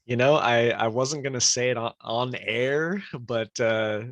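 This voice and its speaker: A man's voice: mid-range voice, unhurried, a bit bright, no echo. A man co-hosting a podcast, joking easily in casual chat while just over a cold. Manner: joking, easygoing, often laughing. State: recovering from a cold. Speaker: podcast co-host.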